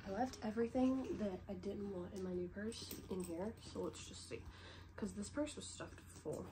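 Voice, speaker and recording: Stuffy young woman chatting. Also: mid-range voice. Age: young adult. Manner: chatty, rambling, lots of "like" fillers. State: stuffy head cold. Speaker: vlogger. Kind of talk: casual show-and-tell chat. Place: bedroom, sitting on the bed. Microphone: camera set low in front of her. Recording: noisy home recording.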